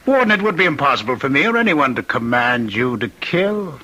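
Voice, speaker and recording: An actor playing a gloating, menacing condemned killer in a radio mystery, speaking deliberately and mockingly. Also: male voice, mid-range voice, pushed and bright, full character voice, rough recording. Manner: deliberate, mocking, savoring his words. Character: condemned male killer, gloating, menacing, taunting, claims to be the Ripper.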